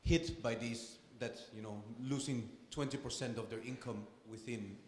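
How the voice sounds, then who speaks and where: A man's voice: mid-range voice, fairly even intonation, moderate pace, a little hiss or hum. A man in the audience asking a question, wandering and hesitant. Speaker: audience member. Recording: echoey hall from off-mic.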